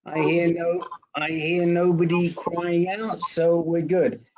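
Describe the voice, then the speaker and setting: Unhurried higher-voiced man moderating a group call. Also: little pitch movement, a crowd in the background. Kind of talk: casual group roll call. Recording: dull lo-fi online group call.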